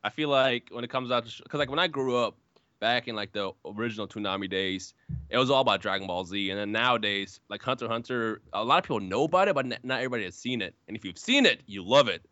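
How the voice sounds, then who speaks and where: Loud, bright-voiced man chatting casually. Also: mid-range voice, expressive, quick pace, no echo. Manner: enthusiastic, laid-back slang. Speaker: podcast guest, YouTuber. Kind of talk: casual podcast chat. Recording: dry, slightly dull podcast audio.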